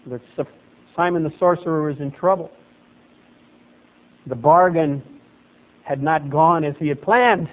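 A middle-aged man preaching a sermon as pastor at a lectern in a small chapel, animated and gesturing, his voice leaping up for emphasis. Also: a little high, a little slow, pauses often, low-quality recording.

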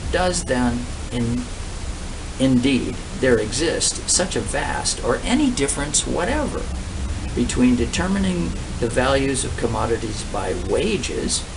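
An older man in his sixties reads a formal speech aloud at a middling pitch. His phrasing is deliberate and emphatic, broken by pauses. He is recorded outdoors a little way from the mic, with steady noise clearly behind him. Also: far from the mic. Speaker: reader of a political economy text. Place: outdoors on a brick-paved patio.

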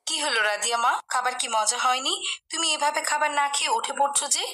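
Brief: female actor as long-suffering devout cartoon mum, bright tone, some background noise. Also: high-pitched, fast. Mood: gentle. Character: pious, worried, long-suffering mother in a moral cartoon. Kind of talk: cartoon dialogue.

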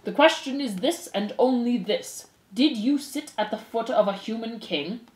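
A woman voicing a stern old male head mouse who speaks slowly and formally, as in a storybook. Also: a little high, expressive, a little mellow, echoey room, close to an everyday voice, rough recording. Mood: stern, solemn. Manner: slow, formal, commanding delivery.

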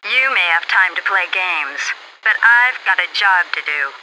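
A woman's voice: fairly low pitch, expressive, moderate pace, background noise. An actress playing a young female military lieutenant in a video game, curt, sardonic and businesslike over a radio. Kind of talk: video game unit quotes.

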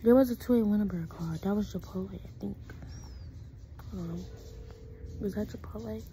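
A young American woman chatting casually to camera in an informal, slangy, halting way, sometimes dropping into hushed asides. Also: medium pitch, music in the background, low-quality recording. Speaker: vlogger making prank calls. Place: small indoor room. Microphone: phone held at arm's length.

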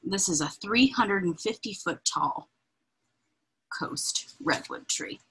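A woman reading a children's picture book aloud in an expressive, deliberate, breathy way, adding informal asides as she points things out. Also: mid-range voice, rough recording. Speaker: read-aloud reader. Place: indoors, small room with bookshelves.

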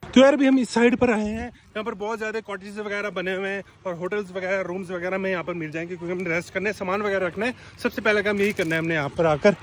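Brief: young male travel vlogger, very high voice, excitable and winded, selfie phone outdoors. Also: fast talker, slight background noise. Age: young adult. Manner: excitable, joking, breathless from hiking. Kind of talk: casual travel vlog. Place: outdoors on a mountain trail. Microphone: handheld phone camera at arm's length.

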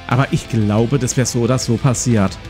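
A man's voice: medium pitch, fairly even intonation, moderate pace, darkish tone. A man in his forties hosting a let's play, reading a gamebook aloud and commenting casually. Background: plucked guitar music.

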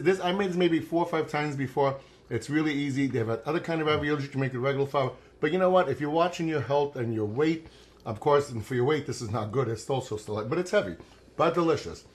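Chatty man hosting a home cooking show. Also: on the high side. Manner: chatty, affectionate, joking. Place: home kitchen.

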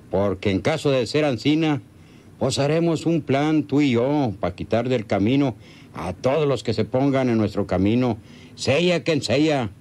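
An actor playing a scheming, stubborn hacienda henchman with a rural Mexican accent, deliberate and emphatic in a radio drama. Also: mid-range voice, a little mellow, close to an everyday voice. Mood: grimly insistent. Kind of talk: radionovela acting.

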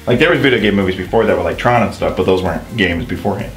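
A man's voice: sits low, racing, fairly bright tone, soft background music. A man in his thirties chatting casually as co-host of a video game show. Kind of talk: casual scripted-topic chat.

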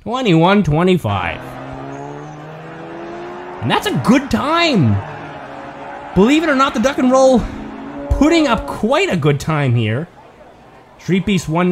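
A man giving play-by-play commentary on a toy car race, building excitement at close finishes. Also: high voice, noisy background.

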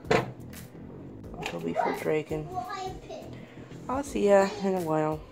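Woman, 30s, low gravelly voice, getting over a cold, home kitchen vlog, music playing underneath. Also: expressive, slow pace. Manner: chatty, talking to camera while cooking. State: losing her voice from a cold. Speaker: vlogger. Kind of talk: casual home cooking vlog. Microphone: camera on the counter.

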